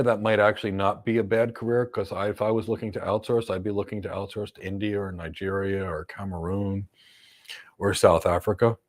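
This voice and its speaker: A man's voice: on the low side, a little mellow. An American man hosting a live career Q&A as a cloud career coach, answering viewer questions emphatically with blunt one-word verdicts. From United States.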